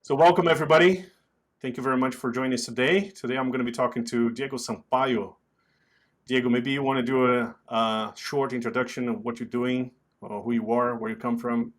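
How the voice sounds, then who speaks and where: Male podcast host and interviewer, medium-pitched voice, presenter delivery. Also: fairly even intonation, fairly quick. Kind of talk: scripted intro and interview questions.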